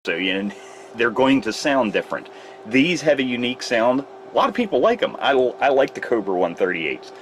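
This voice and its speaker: A man's voice: medium pitch, very animated, a little fast, slight background noise. A man demonstrating radio repair in a casual, rambling way, often correcting himself mid-sentence. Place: repair shop workbench.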